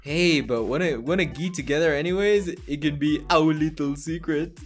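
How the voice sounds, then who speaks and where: A man in a casual chat, reading texts quickly with stumbles and laughter. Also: fairly high pitch, soft background music. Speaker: co-host.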